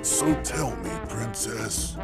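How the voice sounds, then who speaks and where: A man voicing a boisterous, big-hearted rock-giant warrior in a video game, with a gravelly, hearty, boastful delivery. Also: fairly low pitch, expressive, takes it slowly, in a large hall. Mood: sheepish, uneasy. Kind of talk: video game cutscene acting. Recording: loud game music behind.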